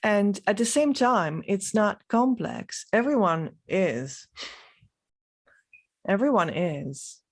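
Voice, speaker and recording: A woman from the Netherlands, a native Dutch speaker with a Dutch accent, giving a reflective, unscripted vlog monologue with halting, trailing phrases. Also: on the low side. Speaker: spiritual vlogger.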